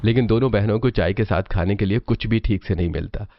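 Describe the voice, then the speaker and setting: A man narrating a scripted family tale as a storyteller, with expressive delivery. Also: on the low side, fast talker, no echo, highs cut off.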